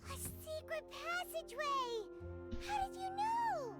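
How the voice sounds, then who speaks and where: A woman voicing a small, curious, childlike fairy companion in a video game, with a squeaky voice. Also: lively intonation, slow, exaggerated voice. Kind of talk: English video game voice acting. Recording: game music over the voice.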